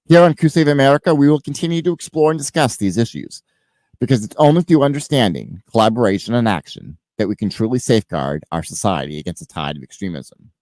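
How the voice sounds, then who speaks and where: Male host with a head cold, mid-pitched, lively rises and falls, close dry mic. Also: fast, dry recording. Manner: emphatic, list-like delivery with swings in level. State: stuffed up with a cold. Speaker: podcast host. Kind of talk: scripted monologue then casual interview.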